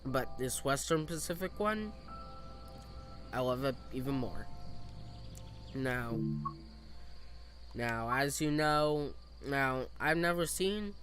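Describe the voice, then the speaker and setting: Young guy streaming a train game, kinda hoarse from coughing, slow and umm-y, music going behind him. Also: medium pitch, expressive, fairly bright tone. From US East Coast. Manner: hesitant, lots of fillers and restarts. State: voice a little off from coughing. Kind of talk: casual gameplay commentary.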